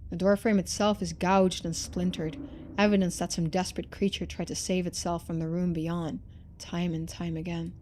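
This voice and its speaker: A woman's voice: fairly low pitch, level tone, moderate pace, darkish tone, slight background noise. A woman reading game narration expressively and adding casual commentary as a streamer.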